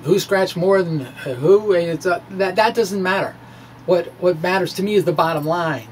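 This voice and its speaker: A middle-aged man talks through science in a small room, with noticeable noise behind him. His delivery is informal and halting, full of musing hums, with a theatrical, animated turn to his phrasing. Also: sits high, poor audio. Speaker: science video blogger. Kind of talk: informal dramatic reading of a physics blog to camera. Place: small indoor room with bookshelves. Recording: clear background room noise.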